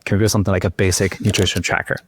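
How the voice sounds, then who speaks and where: A man's voice: sits low, level tone, racing, breathy edge, dry recording, clean studio sound. A man hosting a podcast, speaking in an informal, conversational way. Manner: informal, peppers speech with "like". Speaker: podcast host. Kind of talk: casual interview chat.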